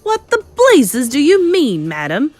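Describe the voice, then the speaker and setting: A woman's voice: sits high, huge pitch swings, a little slow, a little mellow, soft background music, voice pushed up, exaggerated voice. A woman voicing a pompous, outraged middle-aged English father in a storybook, spluttering with indignation. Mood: shouting outrage.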